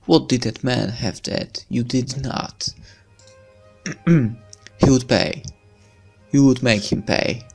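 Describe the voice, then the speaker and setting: A man's voice: mid-range voice, slow, creaky, pauses often, a little mellow, faint music behind. A man reading a visual novel aloud as narration, breaking into casual commentary as a let's player.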